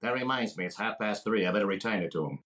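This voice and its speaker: An actor playing a brash, fast-talking wisecracking comedian in a deadpan 1940s radio comedy style. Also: male voice, fairly low pitch. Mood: mock romantic.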